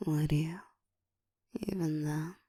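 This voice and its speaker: A woman voicing a dominant, seductive ancient vampire in an intimate, breathy whispered ASMR roleplay, teasing and deliberate. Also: low-pitched, steady tone, dark tone, dry recording, clean studio sound. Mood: restrained hunger. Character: dominant, seductive ancient female vampire, hungry yet controlled.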